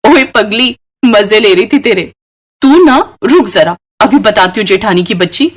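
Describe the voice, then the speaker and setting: A woman's voice: mid-range voice, expressive, unhurried. A woman voicing a playful, hot-tempered young Indian daughter-in-law in a storybook tale, teasing and quarrelsome. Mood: mock bossy, teasing.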